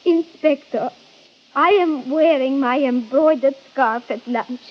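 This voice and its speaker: Young immigrant suspect, really high voice, defensive and earnest, dull old radio sound. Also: female voice, slow. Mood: earnest, pleading. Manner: earnest, foreign-phrased speech. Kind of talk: old-time radio drama acting. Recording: muffled old radio recording.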